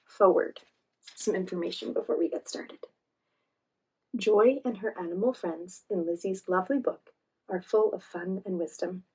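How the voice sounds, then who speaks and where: A woman hosting a children's read-aloud, reading expressively and pausing to ask encouraging questions. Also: mid-range voice, a little mellow, slightly rough, rough recording. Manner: encouraging, asks questions and pauses for answers. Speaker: read-aloud storytime host, teacher.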